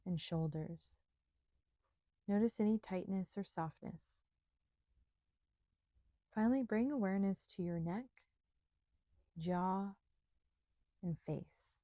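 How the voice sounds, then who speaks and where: A woman instructor leading a guided meditation in a gentle, soothing, unhurried manner with pauses. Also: medium pitch, no room sound, clean studio sound.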